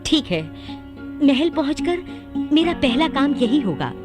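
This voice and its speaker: A woman's voice: sits high, sing-song, measured pace, music in the background. A woman playing an anxious, loyal attendant to a sultana in a radio drama. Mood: dutiful. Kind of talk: Hindi radio drama acting.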